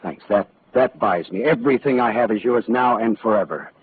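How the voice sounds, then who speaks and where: An actor playing a wisecracking, tough but decent private detective in 1940s radio noir style, sardonic and clipped. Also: male voice, on the low side, brisk, a little hiss or hum, natural voice. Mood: relieved, wry. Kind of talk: 1940s radio noir acting and first-person narration. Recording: muffled old radio recording with music.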